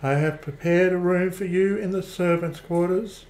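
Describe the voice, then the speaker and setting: A man's voice: high voice, fairly even intonation, moderate pace, a little mellow, roomy sound, poor audio. A man playing a formal, deferential, unflappable old butler in an amateur radio play. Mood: formal welcome.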